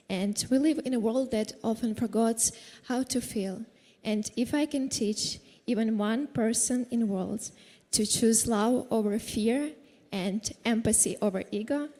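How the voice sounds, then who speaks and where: Nervous woman with a mellow voice. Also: a little high, big-room sound. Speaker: pageant contestant. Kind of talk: prepared stage answer.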